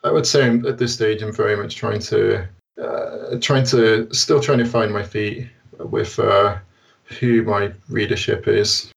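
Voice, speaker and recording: The British guest on an interview podcast, a man picking his words carefully in a creaky voice, sitting a bit back from his microphone. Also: fairly low pitch, fairly even intonation, unhurried, recorded from a distance, dull audio. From United Kingdom. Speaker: guest, science blogger. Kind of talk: conversational interview answers.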